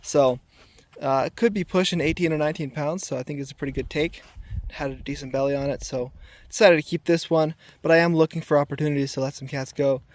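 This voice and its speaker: A man with a raspy voice today, talking casually and thoughtfully to a camera while checking traps outdoors, with filler ums and restarts. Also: a little high, steady tone, quick pace, no echo. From southern Arizona. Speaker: trapper filming his trapline. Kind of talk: casual outdoor vlog narration. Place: outdoors in desert washes.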